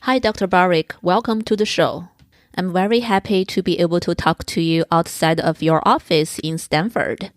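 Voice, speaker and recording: A woman with a cold-roughened, hoarse voice, hosting an interview and politely asking questions. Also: fairly low pitch, fairly even intonation, normal speed, no echo, studio quality. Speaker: podcast host. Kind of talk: conversational interview.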